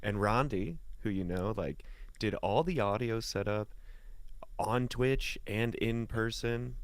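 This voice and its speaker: A man with a fairly low voice chats as a guest in a close, dry recording. He is laid-back and colloquial, telling personal stories in loose pieces and pausing mid-thought. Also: dry recording. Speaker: guest musician and voice talent. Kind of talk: casual chat, personal anecdotes.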